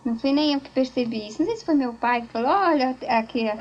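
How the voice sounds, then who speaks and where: A woman's voice: sits high, fast, darkish tone, a little hiss or hum. A woman talking casually in a group interview, telling stories with many restarts. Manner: chatty storyteller, frequent restarts. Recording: dull lo-fi tape recording.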